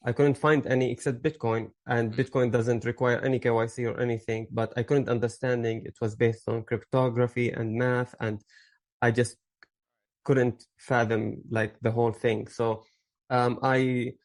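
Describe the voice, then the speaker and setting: A man's voice: medium pitch, flat delivery, moderate pace. A man in his thirties from Syria, a podcast guest telling his story in a rambling way, with fillers and restarts. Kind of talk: casual interview storytelling.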